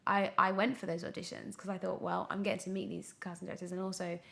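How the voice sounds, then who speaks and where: Young female actress as podcast guest, gravelly creak, reflective and halting, conversational delivery. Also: on the low side, level tone. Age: young adult. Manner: hesitant, reflective, thinking aloud. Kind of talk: candid casual interview conversation.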